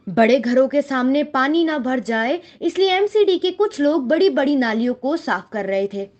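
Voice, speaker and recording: A woman's voice: high-pitched, lo-fi sound. A woman narrating a scripted children's story as the storyteller.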